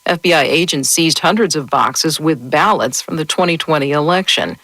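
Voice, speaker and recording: Measured American woman news anchor, low-pitched and dry. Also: a bit bright, dry recording, poor audio. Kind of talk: scripted radio newscast.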